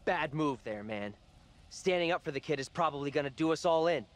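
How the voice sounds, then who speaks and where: An actor as a blunt college guy, animated delivery. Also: fairly high pitch, a little fast, lightly acted. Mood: insistent. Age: young adult. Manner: casual, slangy, urgent. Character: blunt, pushy college-age guy in a horror game. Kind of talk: video game cutscene drama.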